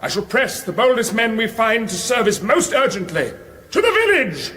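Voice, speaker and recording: A British actor playing an alarmed, bombastic royal commander in a radio comedy, declamatory and exasperated. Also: male voice, sits high, big pitch swings, normal speed, pushed and bright, full character voice. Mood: commanding, urgent. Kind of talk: British radio comedy fantasy parody acting.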